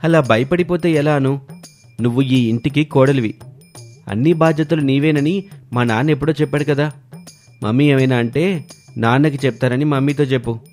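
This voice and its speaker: A man's voice: medium pitch, moderate pace, pauses often, a little mellow, faint music behind, natural voice. A man voicing a young husband in a dramatized moral story, coaxing and mildly chiding, proud of his family's wealth. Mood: reassuring, coaxing.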